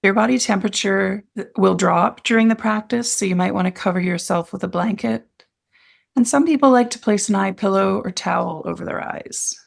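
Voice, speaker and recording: A woman leading a guided meditation as an instructor, speaking in gentle, soothing phrases with pauses between them. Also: medium pitch, creaky.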